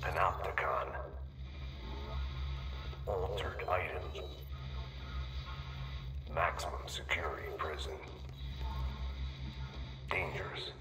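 Very low, echoing ominous presence. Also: male voice, slow pace, pauses often. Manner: clipped, ominous fragments. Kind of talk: ominous game voice-over. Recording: echoing, music-backed game audio.

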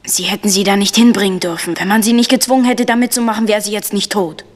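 A woman playing a frightened, secretive village boy in a German radio crime drama, hushed and hesitant. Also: medium pitch, steady tone, fast talker, in a large hall, lightly acted.

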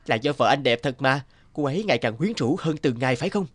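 A man's voice: medium pitch, animated, brisk, close to an everyday voice. A man as a cool cartoon private detective. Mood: teasing. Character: cool, teasing male private detective, protective. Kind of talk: cartoon dialogue.

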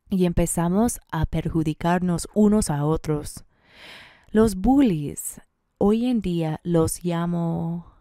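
Slow, dark-voiced older woman on a panel. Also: lowish voice, smooth, dry recording, clean studio sound. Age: 60s. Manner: slow, blunt and emphatic, with rising rhetorical questions. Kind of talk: public panel talk. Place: conference room, indoors. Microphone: handheld microphone.

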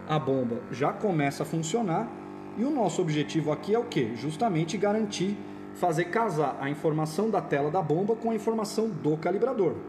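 A man's voice: a little high, noisy background. A man with a Brazilian accent gives an informal, step-by-step equipment demonstration in an explanatory way. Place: indoor office or lab.

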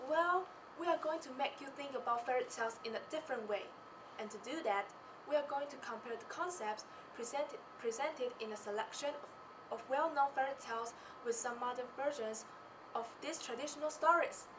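A teenage young woman with a high voice presents a rehearsed script on stage. Her delivery is theatrical. She is picked up from a distance, with clear noise in the recording. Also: brisk. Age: teens. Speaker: student presenter. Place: small presentation stage indoors. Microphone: far camera. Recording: noisy room, distant microphone.

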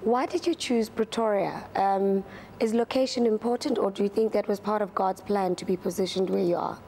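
Young woman hosting a studio interview, mellow tone, polite and direct. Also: mid-range voice, fairly even intonation, normal speed, slight background noise. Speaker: TV host. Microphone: lapel.

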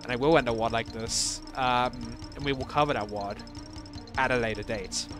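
A man's voice: medium pitch, moderate pace, music in the background. A young man casually commenting on his own game levels as he plays, rambling and self-deprecating, with lots of fillers and restarts.

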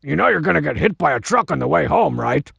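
A swaggering, scheming cartoon masked wrestler for a video game. The voice is pitched high and heavily gravelled, swooping widely as it boasts and mocks. It is petty and full of itself. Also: male voice, sing-song, fast talker, a bit bright, no room sound, highs cut off, full character voice. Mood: mocking. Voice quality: gravelly, raspy. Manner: boastful, mocking, swaggering wisecracks. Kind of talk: video game character barks.

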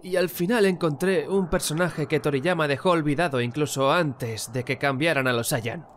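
A man's voice: a little high, moderate pace, a bit bright, a little hiss or hum, lightly acted. A man voicing a young, sarcastic and bewildered martial artist in a cartoon fandub. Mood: sardonic, annoyed. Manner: sarcastic, incredulous. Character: miserable, sardonic young male martial artist, bewildered loser. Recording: music behind the voice.

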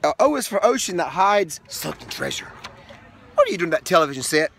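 Dad in his 30s doing storytime in the back of a minivan, super animated, bouncy voice. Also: on the high side, fast talker, creaky, slight background noise. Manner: playful, animated storytelling with asides to the child. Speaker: father reading to his young son. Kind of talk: reading a picture book aloud.

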